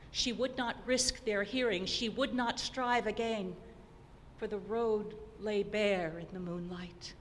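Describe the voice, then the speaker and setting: A woman giving a dramatic poetry recitation, theatrical and deliberate, swinging between hushed and declamatory delivery. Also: medium pitch. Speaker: poetry performer. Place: opera house hall, live performance. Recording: noisy recording in a reverberant hall.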